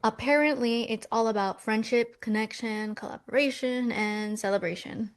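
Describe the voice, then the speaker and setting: A woman reads as a skeptical millennial twin from New York in a romcom script. Her voice sits a little high, and she keeps it practical and dry. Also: steady tone, normal speed, lightly acted. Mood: dry, bored. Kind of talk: table read of a romcom script.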